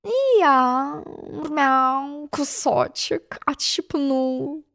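A woman's voice: high-pitched, drawn-out words, gravelly, a bit bright, voice pushed up, heavily acted. Woman as a mumbling, meowing storybook cat. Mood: meek. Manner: mumbles, inserts meowing sounds. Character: timid munching cat messenger, meek and mumbling.

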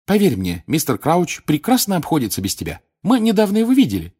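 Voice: mid-range voice, huge pitch swings, fairly quick, darkish tone, breathy edge, pitched above the performer's own voice. Narrator as a firm, sensible teenage girl. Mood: firm, reassuring. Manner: firm, matter-of-fact.

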